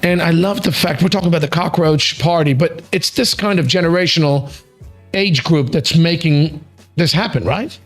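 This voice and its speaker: A man's voice: on the high side, moderate pace, soft background music. A middle-aged man hosting a podcast, chatting enthusiastically and often repeating words as he restarts. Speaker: podcast host. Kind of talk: casual chat.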